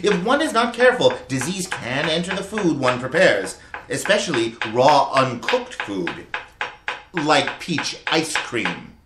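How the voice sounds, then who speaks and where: An earnest official of the 1900s , acted by a man, presses his case politely and insistently in a radio play. His pitch moves a lot. The recording is roomy, with some noise behind him. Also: big pitch swings, a little slow. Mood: persistent. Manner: polite, precise, persistent. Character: formal, insistent male health investigator of 1906. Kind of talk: old-time radio acting. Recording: roomy, with background noise and clicks.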